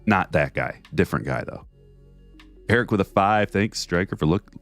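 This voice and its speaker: A man's voice: expressive, moderate pace, fairly bright tone, faint music behind. A man hosts a casual livestream, chatting in a jokey, chatty way and reading viewer messages aloud. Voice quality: deep bass voice. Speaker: card break streamer.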